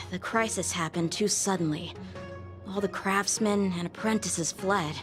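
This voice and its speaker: Woman playing an earnest, indignant boyish swordsman, slow-paced. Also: medium pitch, close to an everyday voice. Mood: grave. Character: indignant, earnest young man, a proud sword trainee. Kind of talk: scripted video game dialogue. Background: faint game music.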